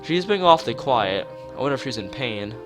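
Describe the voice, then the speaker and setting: A man's voice: medium pitch, very animated, brisk. A man streaming a live read-aloud of a visual novel, stumbling over words and rereading them, with casual asides to chat. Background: guitar music.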